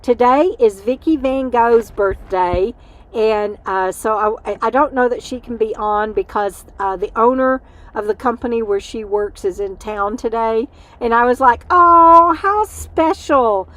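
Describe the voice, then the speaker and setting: Middle-aged woman, tired livestream host, rambly and warm-hearted, fairly high voice. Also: unhurried. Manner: rambling, chatty, talks to her dog mid-stream. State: tired from lack of sleep, voice fading. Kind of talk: casual livestream chat. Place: inside an RV.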